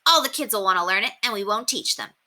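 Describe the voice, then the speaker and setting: A woman voicing an excitable young schoolboy sidekick in a children's storybook, emphatic and exclamatory. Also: mid-range voice, quick pace. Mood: keen.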